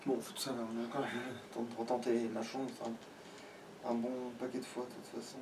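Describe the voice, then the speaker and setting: A man giving casual gaming commentary as a let's play host, swearing freely and hesitantly thinking out loud. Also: medium pitch, little pitch movement, moderate pace, distant mic, background noise.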